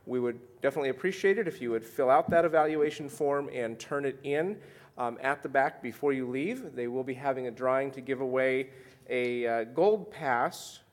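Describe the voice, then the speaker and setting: A man's voice: medium pitch, normal speed. A man moderating a conference panel, giving housekeeping notes and introducing speakers with some ums. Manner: orderly, housekeeping announcements with fillers. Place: conference session room.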